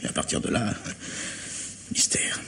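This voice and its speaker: An actor playing an anxious, defensive middle-aged factory owner in a French radio mystery, speaking formally with agitated hesitation in a husky voice. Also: male voice, unhurried, a bit bright, in a whisper, lightly acted. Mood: hesitant, explaining.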